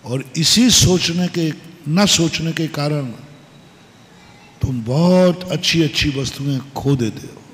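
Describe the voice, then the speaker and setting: Slow, echoing preaching monk. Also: male voice, a little high. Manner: slow, emphatic preaching with rhetorical questions and repeated maxims. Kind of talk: sermon. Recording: long hall reverb.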